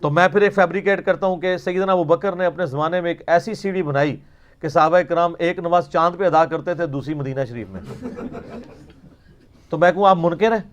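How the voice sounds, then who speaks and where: Higher-pitched man giving a polemical religious lecture. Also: a little fast, highs cut off. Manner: polemical, mocking, rhetorical. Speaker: religious teacher answering questions. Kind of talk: argumentative religious lecture with quoted narrations.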